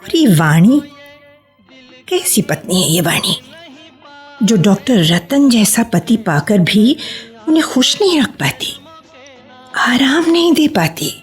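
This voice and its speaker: An actress playing a sensible homemaking woman who affectionately scolds her friend in a measured, expressive radio-play style. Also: on the high side, faint music behind. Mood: troubled, longing. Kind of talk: Hindi radio play acting.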